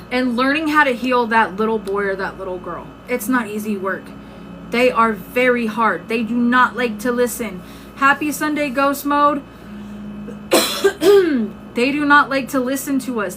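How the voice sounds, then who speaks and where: A woman giving an informal, emphatic motivational talk on a live stream, conversational and often checking in with listeners, her voice starting to give out. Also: on the high side. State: losing her voice. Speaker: live-stream host and self-help coach. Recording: clearly noisy background.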